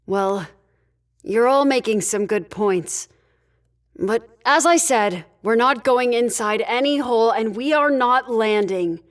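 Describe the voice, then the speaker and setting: Woman playing a firm, high-voiced starship leader. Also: takes it slowly. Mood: firm, impatient. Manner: firm, decisive, curt. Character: no-nonsense female spaceship leader, firm and impatient. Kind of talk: sci-fi audio drama acting.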